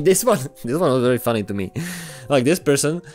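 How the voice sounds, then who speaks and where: A man's voice: medium pitch, sing-song, fairly quick, a little mellow, faint music behind. A man from the Republic, chatting casually as he answers viewer comments and laughs along. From Czech Republic. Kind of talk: casual Q&A chat.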